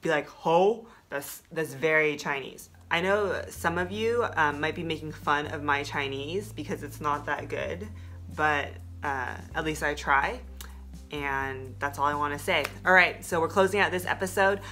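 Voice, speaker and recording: American woman in her 30s, low pitch, bright voice, playful lesson host chatting casually. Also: measured pace, faint music behind. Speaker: host and learner. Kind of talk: casual scripted-light language lesson chat. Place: small plain room indoors.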